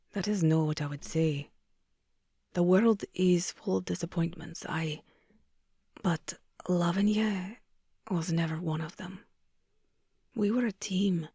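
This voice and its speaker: A woman's voice: husky, dry recording, studio quality. Woman doing a remorseful Scottish young man. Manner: low, hushed, earnest.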